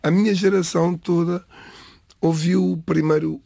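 A man speaks in an earnest, halting way and keeps restarting his sentences. His voice sits a little high, with a gravelly, husky grain. The recording is dry and close. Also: steady tone, dark tone, dry recording. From Portugal. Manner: halting, self-correcting, earnest. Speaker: interviewee. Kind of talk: reflective interview.

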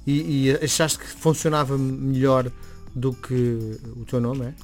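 A man interviewing a guest in a casual chat, asking quick follow-up questions. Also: medium pitch, fairly even intonation. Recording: faint music behind.

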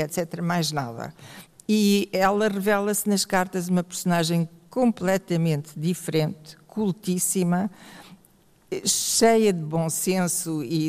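A woman's voice: fairly low pitch, a little mellow. Hesitant European Portuguese woman in a hall. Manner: halting, many fillers and restarts. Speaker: author. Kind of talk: panel talk about a book. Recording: reverberant hall.

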